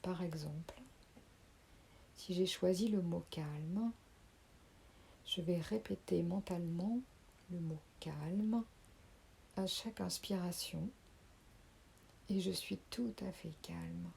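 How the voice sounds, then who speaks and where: A woman leading a guided sophrology relaxation, speaking softly and calmly and sometimes dropping to a whisper. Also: lowish voice, gravelly, a little hiss or hum. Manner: slow, soft, calming, with whispered phrases. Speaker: sophrology teacher.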